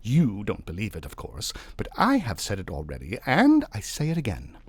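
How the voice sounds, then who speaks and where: A man voicing an earnest, self-assured spiritualist wife in a storybook reading, breathy, insistent and knowing. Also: lowish voice, wildly swooping pitch, moderate pace, no echo, full character voice.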